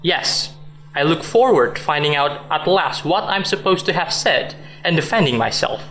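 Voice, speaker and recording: Wry, defensive male executive acted by a man, lively swoops, radio drama in an echoey room. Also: a little high, moderate pace. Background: music.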